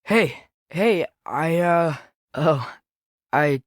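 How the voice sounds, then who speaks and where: A man's voice: fairly high pitch, lively intonation, extremely slow, no room sound, studio quality. A young male actor playing an earnest, curious, impulsive teenage boy in a Norse myth video game, speaking naturally with a hesitant, boyish manner.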